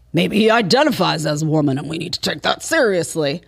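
A woman's voice: lowish voice, expressive, moderate pace, creaky. A woman hosting an opinion show, delivering a sarcastic, incredulous, emphatic monologue. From Italian family background. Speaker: show host.

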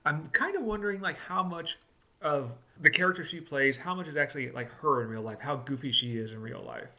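Very bright-voiced man rambling casually. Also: on the high side, fairly quick. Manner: rambling, heavy on like and uh. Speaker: co-host. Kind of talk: casual chat.

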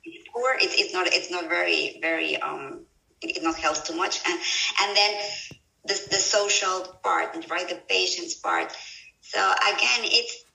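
Lady, gravelly and sharp, keeps restarting, far off mic in an echoey room. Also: lowish voice. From Mexico. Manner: halting, restarts and repeats words often. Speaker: guest, mental health professional. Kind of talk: conversational interview answers.

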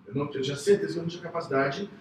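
A man, a psychologist guest, telling his own story in an earnest, halting way with many restarts. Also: fairly high pitch, a little mellow, a little hiss or hum. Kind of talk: personal testimony in casual talk. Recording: echoey room, voice from far off.

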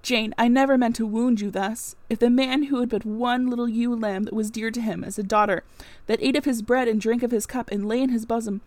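A woman reading aloud, voicing a brooding middle-aged gentleman who pleads earnestly with his beloved. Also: fairly high pitch, steady tone, a little fast, no room sound, natural voice. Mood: pleading.

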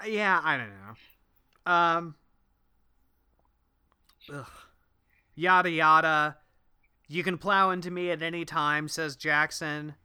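Bright-voiced Midwestern man, nerdy wrestling asides. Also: a little high, slow, pauses often, clear, resonant tone. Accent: Midwestern American. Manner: nerdy, fond of wrestling references, breaks into explanations. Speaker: podcast co-host. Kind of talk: casual comedic chat.

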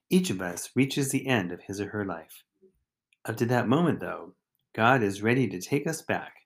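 A man reading a scripted daily devotional as a deacon and host, phrasing it deliberately in short, measured units. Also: lowish voice. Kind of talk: scripted devotional reflection, read aloud.

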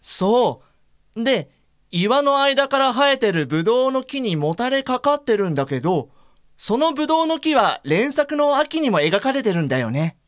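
Woman playing blind art enthusiast, highish voice, animated pitch, frank, gently exasperated, audio drama. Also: normal speed, no room sound, studio quality. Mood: interested. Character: Mochida, active blind art lover, gently exasperated, independent. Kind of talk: Japanese audio drama acting.

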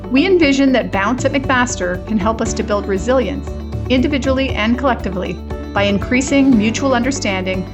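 A woman's voice: fairly high pitch, fairly quick, muffled recording, over music. A woman hosting an interview podcast, reading a scripted intro and then chatting with frequent restarts. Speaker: podcast host.

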